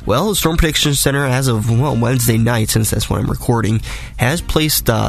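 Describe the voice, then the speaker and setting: A man hosting a weather podcast as a meteorologist, his voice raspy from a cold, talking through the forecast in a conversational, detailed way. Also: medium pitch.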